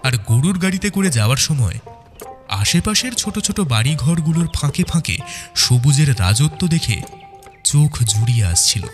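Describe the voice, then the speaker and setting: Male narrator for detective audio fiction: lively intonation, dramatic scripted delivery, reverberant sound over faint music. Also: on the high side, normal speed.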